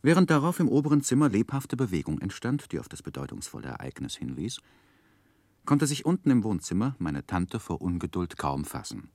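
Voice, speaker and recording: An actor playing a grown man narrating his own life story in a radio drama, with measured, wry delivery. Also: on the low side, sing-song, darkish tone, no room sound. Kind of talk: scripted literary narration in 1960s radio drama.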